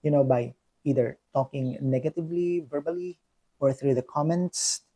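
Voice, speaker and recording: Brief: young Filipino-accented man, earnest self-reflective vlogger, slightly high husky voice, halting with fillers. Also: dark tone, poor audio. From Philippines. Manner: many fillers and restarts, earnest. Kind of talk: personal reflection monologue.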